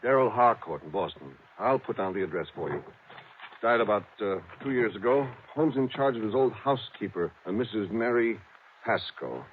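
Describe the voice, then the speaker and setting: An actor playing a grumbling, fretful American insurance executive in an old radio drama, talking bluntly and conversationally. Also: male voice, lowish voice, lively intonation, normal speed, natural voice. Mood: explanatory. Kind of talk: 1950s radio acting. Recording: narrow old radio, faint noise.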